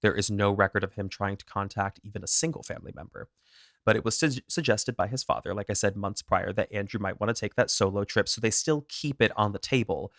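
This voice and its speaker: A man co-hosting a true crime podcast, walking through a case in a casual, animated storytelling way. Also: lowish voice, a little fast, no echo, studio quality. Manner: animated, self-deprecating, laughs at own pronunciation. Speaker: podcast co-host.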